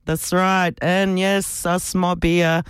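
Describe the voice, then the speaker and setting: A man from South Australia with an Australian accent, hosting community radio and speaking live in a measured, deliberate way, phrase by phrase. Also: high voice, little pitch movement, fairly bright tone, clear, resonant tone, dry recording, studio quality. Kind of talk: live radio welcome and acknowledgement of country. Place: radio studio.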